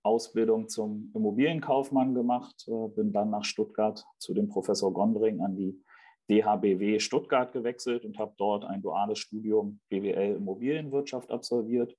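A man's voice: fairly low pitch, moderate pace, dark tone, husky. A man in his late twenties from Germany, answering as a podcast guest in a conversational style. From Berlin, Germany. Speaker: podcast guest, real estate investment manager.

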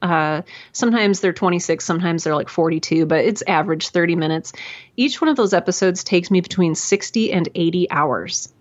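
A woman's voice: lowish voice, fairly quick, dull audio. A woman, talking casually and self-deprecatingly as an interview guest, with lots of fillers and restarts. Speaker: podcast guest, audio drama creator. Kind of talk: conversational interview. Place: home room hung with towels.

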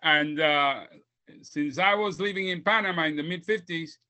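A man in his seventies from Puerto Rico tells his life story as a podcast guest, heartfelt and reflective. His voice is bright and forceful, and sits somewhat high for a man. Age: 70s. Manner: heartfelt, reflective, speaks slowly. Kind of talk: reflective storytelling interview answers.

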